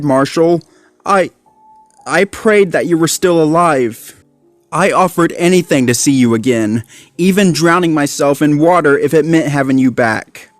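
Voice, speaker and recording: A man voicing a gentle male pup who apologizes softly and with shame. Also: on the high side, dry recording, voice pushed up, lightly acted. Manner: soft, ashamed apology. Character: male mixed-breed pup, ashamed and gentle.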